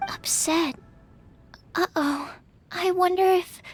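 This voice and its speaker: A woman playing a gentle, timid young female alchemist in a video game, soft and girlish, explaining things politely and earnestly. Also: extremely high pitch, steady tone, slow pace. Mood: puzzled. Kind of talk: video game character acting. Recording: music under the voice.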